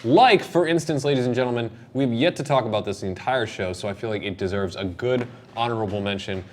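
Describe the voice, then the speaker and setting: A man's voice: mid-range voice, fast. A young man hosting a casual panel discussion, speaking emphatically and with strong opinions, recorded in a noisy room. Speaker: host of a video game discussion show. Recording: noisy room recording, steady background noise.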